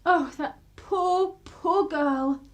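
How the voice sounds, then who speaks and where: A woman's voice: extremely high pitch, very slow, full character voice. An actress playing a genteel, posh English woman, well-spoken, gracious and wry, in a radio drama. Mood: shocked, sorrowful. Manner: well-spoken, wryly humorous.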